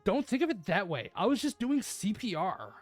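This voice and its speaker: A woman narrates a visual novel for a stream, slipping into casual, playful chat. Her pitch moves in a lively way, and faint music sits underneath. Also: normal speed. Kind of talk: expressive read-aloud narration with casual chat.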